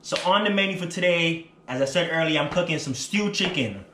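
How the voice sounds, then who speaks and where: A man's voice: on the high side, a little fast, reverberant, background noise. A man with a Jamaican accent, chatting to viewers about his cooking in a casual vlog style from his home. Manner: chatty, storytelling, addresses viewers directly. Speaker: cooking vlogger. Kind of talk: casual cooking show chat. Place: small apartment living room, then home kitchen.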